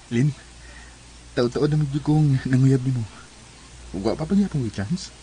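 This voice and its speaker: A man's voice: medium pitch, a little slow, mellow, slight background noise, close to an everyday voice, rough recording. A man with a Cebuano Filipino accent playing an earnest, devoted young suitor in a radio drama. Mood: patient, pleading. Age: young adult.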